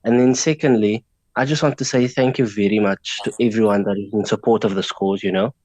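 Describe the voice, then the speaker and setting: A man's voice: fairly low pitch, steady tone, a little fast, darkish tone, dry recording. A man with a South African accent whose first language is Afrikaans, speaking as a guest in a radio interview, earnest and grateful. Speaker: petition organiser, guest.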